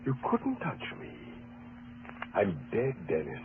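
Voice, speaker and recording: An actor playing a coldly vengeful husband posing as a ghost in a 1940s radio mystery, measured, sardonic and taunting. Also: male voice, lowish voice, wildly swooping pitch, full character voice. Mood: eerie, ominous. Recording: noisy narrowband vintage radio recording.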